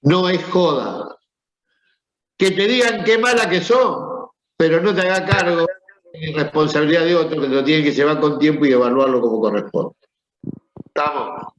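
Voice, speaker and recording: Male teacher, Rioplatense accent, higher voice, chatty and questioning, echoey classroom, muffled recording. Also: moderate pace. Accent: Rioplatense Spanish. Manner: conversational, asks the class questions. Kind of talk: classroom lecture. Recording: echoey room, dull sound.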